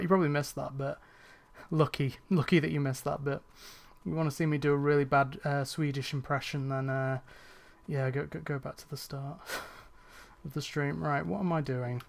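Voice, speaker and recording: A man's voice: fairly high pitch, gravelly. A young man streaming a cleaning game, chatting casually with his viewers, rambling with fillers and restarts and sounding a little tired. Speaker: gaming streamer. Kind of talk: casual chat while playing a game on a live stream.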